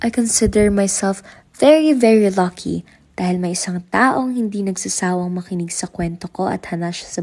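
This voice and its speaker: A woman's voice: on the low side, a little mellow. A young woman with a Filipino accent playing a playful, affectionate sweetheart in an amateur radio drama, chatty and teasing. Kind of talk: amateur radio drama phone dialogue, Taglish.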